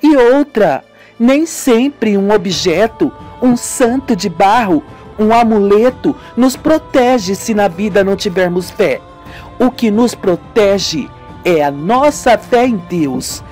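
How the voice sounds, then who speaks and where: A woman's voice: mid-range voice, lively intonation, slow, a little mellow, soft background music, pitched above the performer's own voice. A woman playing an earnest, devout young sister in a radio soap opera, speaking in an emphatic, declamatory way. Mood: earnest, preaching.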